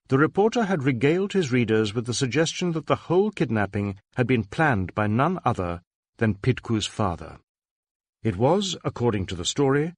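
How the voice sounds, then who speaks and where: A man narrating a detective novel as an audiobook, with measured, dramatic storytelling. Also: mid-range voice, dry recording.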